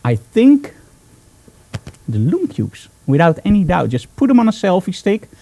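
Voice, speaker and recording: Dutch guy hosting a live stream, highish voice but soft mellow tone, chatty n emphatic. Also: wildly swooping pitch, moderate pace, vocal fry, dry recording. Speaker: host, photographer. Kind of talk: informal live broadcast, explaining gear.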